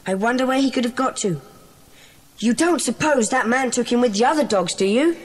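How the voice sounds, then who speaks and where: Earnest, high-voiced English schoolboy. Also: female voice, animated, a little fast. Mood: worried, puzzled. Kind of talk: audio drama acting. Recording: reverberant, faintly noisy recording.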